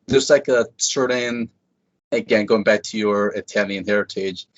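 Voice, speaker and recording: A man's voice: on the low side, normal speed, dry recording. A man hosting a podcast, chatting casually and eagerly with a guest. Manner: chatty, enthusiastic fan. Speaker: podcast host. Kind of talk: casual interview chat. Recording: dull lo-fi sound, dry.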